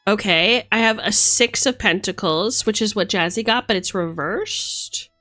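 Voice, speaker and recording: A woman's voice: mid-range voice, unhurried, bright, forceful tone. Playful woman chatting over background music. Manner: playful, affectionate, thinking aloud. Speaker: livestreamer. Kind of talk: casual livestream chat while reading tarot cards.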